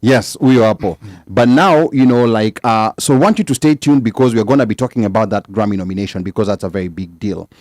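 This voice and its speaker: A man's voice: on the low side, brisk, hardly any pauses, a little mellow, no echo. Upbeat man hosting, dry sound. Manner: upbeat, often repeats words as he talks. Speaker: talk show host. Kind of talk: hosting a live interview show.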